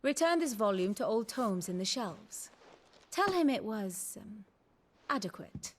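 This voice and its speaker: A woman playing a worldly scholar in a fantasy game, speaking in formal, eloquent, deliberate phrases. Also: medium pitch, big pitch swings. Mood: wry, businesslike. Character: worldly woman scholar, learned, measured, faintly wry tutor of politics. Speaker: lore tutor character. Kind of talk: cinematic fantasy game acting, expository narration. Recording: loud orchestral music behind.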